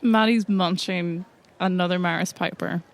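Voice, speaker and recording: A woman playing a tabletop game, improvising casual lines in a brisk, blunt manner. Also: fairly low pitch, steady tone, slight background noise. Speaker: tabletop roleplay podcast player.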